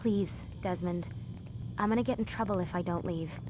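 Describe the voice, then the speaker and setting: An actress playing an earnest young female lab assistant who explains things in a hushed, guarded way. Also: on the low side, moderate pace, noisy background, close to an everyday voice. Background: game score music.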